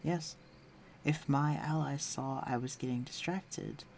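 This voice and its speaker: A man's voice: on the high side, slow, darkish tone, slight background noise. A man playing a flirtatious, teasing villain with a secretly tender side, speaking intimately to the listener in an audio roleplay. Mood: flirtatious, wry. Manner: teasing, coaxing, breathy murmurs.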